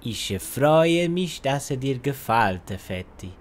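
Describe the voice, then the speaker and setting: A man's voice: mid-range voice, expressive, slow, a little hiss or hum. An actor playing a courteous, scheming foreign male villain who speaks hesitantly in broken grammar, in a parody audio drama. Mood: coaxing.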